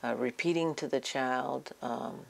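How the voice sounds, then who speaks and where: A woman presenting an informal educational medical talk as a doctor, explaining things in a halting way with frequent pauses. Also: rumbling low, vocal fry.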